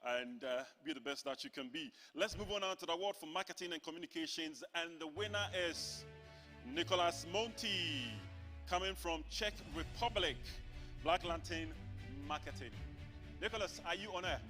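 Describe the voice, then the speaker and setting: A man hosting an online awards ceremony, announcing winners in a formal, measured way and calling them on air. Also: on the high side, sharp tone, faint music behind.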